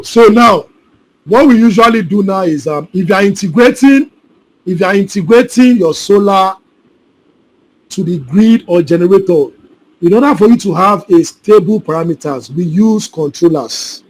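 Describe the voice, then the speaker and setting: A man leads a live online class over a video call, his voice sitting fairly high. He repeats himself and keeps checking that his students understand. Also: normal speed, poor audio. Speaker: solar installation trainer.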